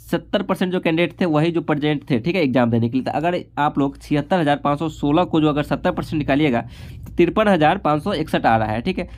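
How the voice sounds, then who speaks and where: A man's voice: on the high side, darkish tone. A man explaining figures informally as an instructor, repeatedly adding a short confirming tag to his phrases. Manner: repetitive confirming tag after nearly every phrase. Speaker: exam-prep instructor. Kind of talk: informal explainer of exam cut-offs and statistics.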